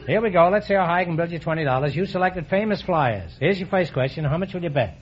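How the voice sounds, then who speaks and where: A man hosting a quiz show, cracking quick puns and teasing his contestants. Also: on the high side, expressive, breathy edge. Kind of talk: joking quiz show banter. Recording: dull old radio broadcast with hiss.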